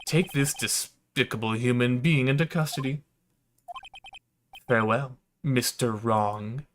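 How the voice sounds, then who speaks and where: A man voicing an arrogant, threatening businessman villain from a game, with pompous, drawn-out sneering. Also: mid-range voice, fairly bright tone, slight background noise, lightly acted. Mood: triumphant, scornful.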